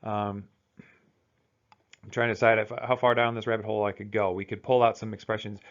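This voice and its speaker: A man's voice: fairly low pitch, level tone, brisk, dull audio. A man with an American accent giving a recorded college lecture, talking in a loose, conversational way with fillers and restarts. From United States. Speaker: college instructor.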